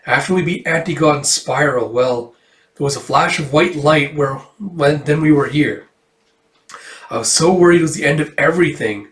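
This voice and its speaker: A man voicing an earnest, weary male superhero leader, speaking in a halting, solemn way. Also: fairly high pitch, roomy sound, lightly acted.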